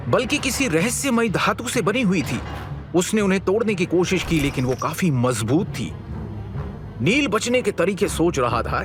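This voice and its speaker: A man narrating a scripted audio story in a dramatic, measured and suspenseful manner. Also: high voice. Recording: music bed under the voice. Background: background music.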